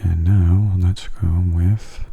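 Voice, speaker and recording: A man giving gentle, soft-spoken ASMR game commentary in a breathy, near-whispered voice, unhurried and close to the microphone. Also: low-pitched, little pitch movement, muffled recording. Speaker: gaming channel host.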